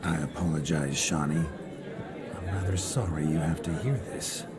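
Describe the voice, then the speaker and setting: A man's voice: extremely low pitch, steady tone, normal speed, a loud crowd. An actor playing a proud, touchy male nobleman's ghost speaking in an indignant, haughty way. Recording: game cutscene with music score.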